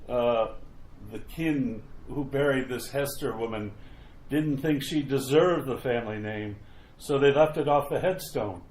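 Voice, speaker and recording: Guy doing a uneasy folksy graveyard caretaker, slow stammery talk, old radio play style, bit of noise. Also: mid-range voice, a little mellow, close to an everyday voice. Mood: grim storytelling. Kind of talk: retro radio mystery acting. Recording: roomy echo, noticeable background noise.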